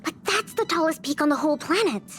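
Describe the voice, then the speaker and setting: A woman's voice: high voice, lively intonation, fast, fairly bright tone, faint music behind. A woman voicing an earnest, wide-eyed young girl in a video game who sounds sincere, eager and wistful.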